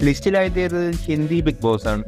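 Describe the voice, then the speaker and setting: A man's voice: fairly high pitch, expressive, moderate pace, music in the background. A man reading scripted narration for an online video.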